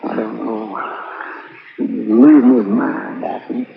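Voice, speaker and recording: Gravelly, weary older country doctor. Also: male voice, on the low side, animated, slow pace, exaggerated voice. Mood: resigned, confessing. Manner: hesitant, guarded. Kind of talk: 1950s radio drama acting. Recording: noisy old radio recording, narrow band.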